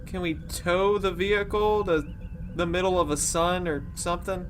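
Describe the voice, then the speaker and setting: A man's voice: high-pitched, fairly even intonation, normal speed, noisy background. A man playing a tabletop role-playing game, chatting in a casual, joking way.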